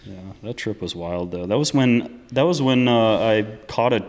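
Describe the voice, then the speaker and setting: A man in his thirties from the US East Coast, a guest telling racing stories in relaxed, casual talk that trails off and swears freely. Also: fairly low pitch, normal speed, big-room sound, crowd noise. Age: 30s. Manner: laid-back, swears casually, trails off often. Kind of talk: casual storytelling chat.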